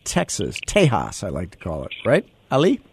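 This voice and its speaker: A man's voice: fairly low pitch, wildly swooping pitch, normal speed, breathy edge, no room sound. A man hosting a podcast, with brash, teasing banter. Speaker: podcast host. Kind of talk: joking radio-style chat.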